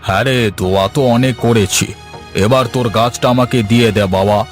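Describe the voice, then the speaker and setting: A man's voice: mid-range voice, fairly quick, faint music behind, lightly acted. A man voicing a wicked, greedy village moneylender with a menacing, mock-kindly manner in a cartoon fairy tale. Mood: smug menace.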